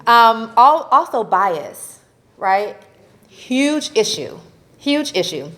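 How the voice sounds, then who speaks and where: A woman advocate speaking on a convention panel, animated and laughing often, ending her points with "right?". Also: a little high, takes it slowly. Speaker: faith-based advocacy panelist. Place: convention session room.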